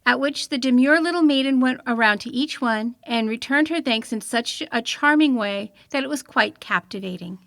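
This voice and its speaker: A woman reading an old-fashioned children's story aloud in an expressive storytelling manner. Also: high-pitched, normal speed, creaky, few pauses, no room sound. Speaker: storybook reader.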